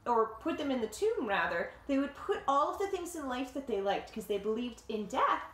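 A woman in her thirties reading a picture book aloud to children like a teacher, with dramatic, deliberate phrasing and simple explanations, recorded in a living room. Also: on the high side, sing-song, fairly bright tone. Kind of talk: expressive storybook read-aloud with explanatory asides. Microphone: camera or phone a short distance away. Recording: roomy home echo.

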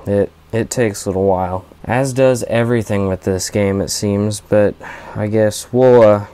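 A man's voice: lowish voice, dark tone, smooth. A man hosting his own gaming channel, narrating a farming game in easygoing, rambling commentary with plenty of ums and uhs. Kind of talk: casual gameplay commentary.

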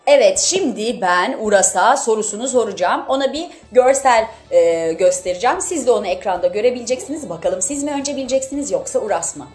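A woman in her thirties hosting a game in casual chat, playful and expressive, often repeating her words. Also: fairly high pitch, fast talker, few pauses, faint music behind. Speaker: channel host, quiz player. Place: small indoor studio, seated at a table.

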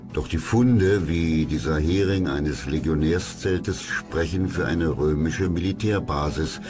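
A middle-aged man reading scripted history documentary narration in a measured, factual way. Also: extremely low pitch. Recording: clear music under the voice.

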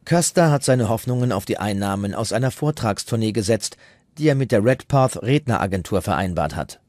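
A man's voice: fairly low pitch, animated, a little fast, a little mellow, no room sound. A man reading scripted documentary narration as the narrator.

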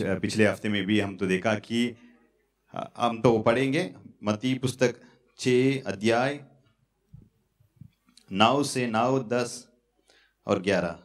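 A man in his thirties preaching a sermon on a church stage into a handheld mic, halting and repetitive. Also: fairly low pitch. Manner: halting, repetitive, fillers and restarts. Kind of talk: sermon with prayer. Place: church stage in front of curtains.

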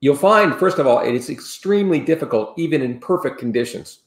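A man's voice: medium pitch, expressive, brisk. A man in his sixties, a sailing expert guest on a video call from home, explaining safety steps in a rambling, earnest way with many restarts. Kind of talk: casual explanatory interview talk. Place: home room, indoors. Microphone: video call webcam. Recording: roomy video call audio.

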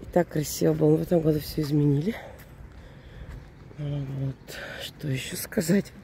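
A woman in her forties with a hoarse voice from a cold, chatting in a rambling, casual way while walking around a seaside resort. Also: sits low, steady tone, moderate pace, dark tone, slight background noise. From Russian-speaking, living in Germany. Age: 40s. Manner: chatty, rambling, self-correcting. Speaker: vlogger. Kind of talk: casual walking vlog commentary. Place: outdoors at a seaside resort in early morning.

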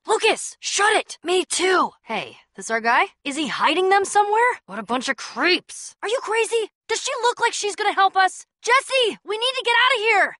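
A woman's voice: high-pitched, pushed and bright, no room sound, studio quality, full character voice. An actress playing a bold, sardonic young woman adventurer in a video game, with a wry, punchy, casual delivery. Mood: alarmed.

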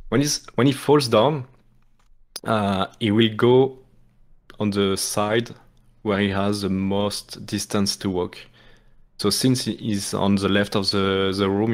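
A man from France with a French accent, explaining a game speedrun in hesitant live commentary full of restarts. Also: fairly low pitch. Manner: hesitant, many restarts and fillers, apologetic.

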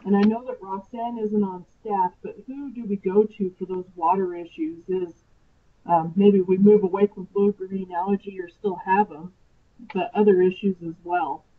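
A woman's voice: medium pitch, fairly even intonation, moderate pace, a little hiss or hum. Woman on a tinny phone line. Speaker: caller, extension staff. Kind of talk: informal question on conference call.

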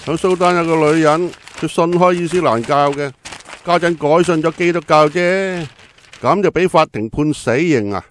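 A man's voice: a little high, normal speed, faint music behind, lightly acted. An actor playing an opinionated, exclamatory male teahouse customer with a Hong Kong accent in a radio drama. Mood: indignant.

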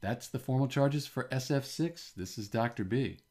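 A man's voice: medium pitch, moderate pace, a little mellow. A man teaching chemistry in an informal tutorial style, explaining methodically step by step.

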